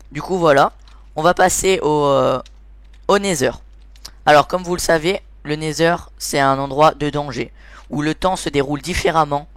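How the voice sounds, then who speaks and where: A man's voice: a little high, a bit bright, no echo. A man giving an informal explainer commentary over gameplay as the host of a gaming series, hesitant and often searching for his words.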